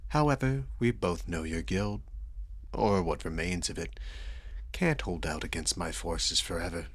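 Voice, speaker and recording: A man's voice: sits low, measured pace, no echo. A man playing a seductive, sadistic vampire lord in an intimate ASMR roleplay, suave and formally polite with a teasing menace. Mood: smooth, predatory. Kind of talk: ASMR roleplay, slow intimate dramatic delivery.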